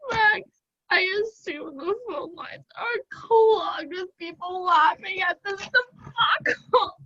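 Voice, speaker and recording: A woman's voice: extremely high pitch, takes it slowly, bright, forceful tone, muffled recording, full character voice. A teenage woman student acting a despairing, self-pitying young radio playwright in a melodramatic, wailing manner over a video call. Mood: tearful exasperation. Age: teens. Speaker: student actor. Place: home room, video call.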